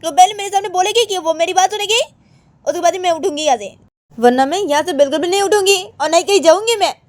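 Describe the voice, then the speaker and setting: A woman's voice: very high-pitched, fast talker, no echo, voice pushed up. A woman voicing a sulking young woman who demands to be listened to. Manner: petulant, demanding.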